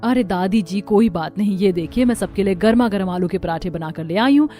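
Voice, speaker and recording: A woman's voice: medium pitch, animated, brisk, dark tone, faint music behind, lightly acted. A woman voicing chatty, opinionated women of an Indian household in a cartoon family story. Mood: helpful.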